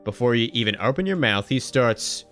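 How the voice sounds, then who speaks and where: A male gaming streamer narrates a visual novel and drops wry, joking remarks between lines. His voice sits at a middle pitch. Soft music plays under him. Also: lively intonation, normal speed, smooth. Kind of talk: reading a visual novel aloud with commentary.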